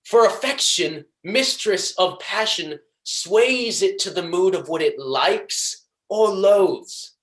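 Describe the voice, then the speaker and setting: A young man playing a proud, vengeful merchant villain in a Shakespearean stage monologue, declaiming deliberately and tauntingly. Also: high voice, expressive, pitched above the performer's own voice. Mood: taunting, forceful. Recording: echoey room.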